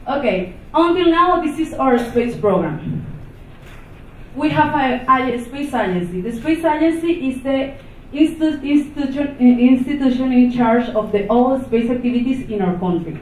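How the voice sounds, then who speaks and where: A woman from Venezuela, a non-native English speaker with a Venezuelan accent, giving an unscripted conference talk in a halting way, with many restarts and fillers. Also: sits high, lively intonation, darkish tone, a little hiss or hum. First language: not English. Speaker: conference presenter. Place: conference hall. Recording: echoey, distant, phone-like hall recording.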